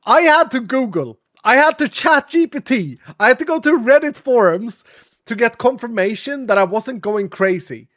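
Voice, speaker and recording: A man streaming live with a cold, chatting casually in a raspy, sniffly voice and thanking his viewers. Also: extremely high pitch, lively intonation, normal speed, a bit bright.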